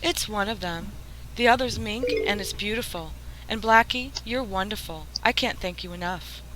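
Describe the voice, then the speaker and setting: A woman's voice: mid-range voice, normal speed, natural voice. A woman playing a flirtatious, scheming crook in a 1940s-style radio drama, coaxing and gushing. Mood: sweetly coaxing. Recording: faintly noisy radio drama recording.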